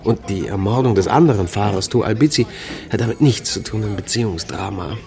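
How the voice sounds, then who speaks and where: A man as a low-voiced, sober investigator. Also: a little fast, darkish tone, lightly acted. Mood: matter-of-fact. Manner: matter-of-fact, official. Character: sober, matter-of-fact male police investigator. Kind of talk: radio drama dialogue. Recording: music under the voice.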